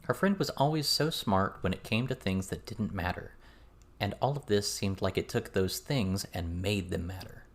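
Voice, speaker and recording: A man's voice: sits low, moderate pace. A man reading scripted fiction as an audiobook narrator.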